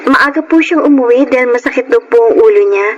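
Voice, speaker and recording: Actress for polite, honest young maid in radio drama; lightly high female voice, clearly noisy sound. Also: a little fast, muffled recording, natural voice. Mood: meek. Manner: deferential, earnest. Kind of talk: Tagalog radio drama acting.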